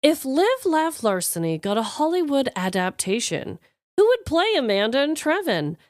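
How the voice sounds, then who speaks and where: A woman co-hosting a podcast in an expressive, informal chat with listeners and her co-host. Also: sits high, moderate pace, no echo, studio quality. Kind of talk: casual chat, reading listener questions.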